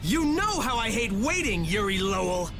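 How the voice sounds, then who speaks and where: A man's voice: sits high, very animated, normal speed, over music. An actor playing a manic male assassin in a video game, taunting his rival with gleeful, unhinged glee.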